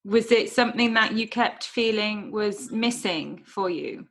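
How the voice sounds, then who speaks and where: A woman's voice: mid-range voice, expressive, a little slow, fairly bright tone. A woman, hosting a casual interview in an interested, encouraging way. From North Wales. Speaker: podcast host, interviewer.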